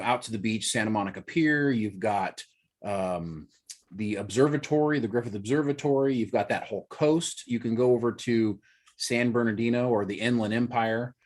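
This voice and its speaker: A man hosting a casual podcast, explaining things chattily and hedging with fillers. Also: medium pitch. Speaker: podcast host. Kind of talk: casual chat.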